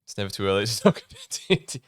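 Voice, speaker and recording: A young man chats loosely on a podcast, filling pauses with ums and easy laughter. His voice sits very low and comes through smooth and resonant. The sound is dry and close, with no room around it. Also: no room sound. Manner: relaxed, rambling, many ums and laughs. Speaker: podcast co-host. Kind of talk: casual chat. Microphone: studio mic.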